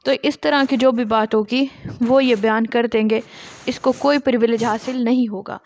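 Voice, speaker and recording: Woman lecturing, high voice. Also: fairly even intonation, normal speed, darkish tone. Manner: explanatory, poses questions and then answers them. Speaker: law lecturer. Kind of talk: lesson, reading out statute and explaining it.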